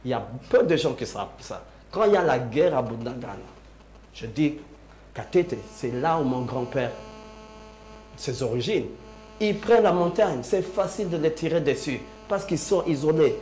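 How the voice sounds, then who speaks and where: A man's voice: fairly high pitch, lively intonation, moderate pace, a little mellow. Man, Congolese accent, strongly echoing room, faint background hum, insistent personal debating style, repeated phrases. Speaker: debate panelist. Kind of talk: political debate.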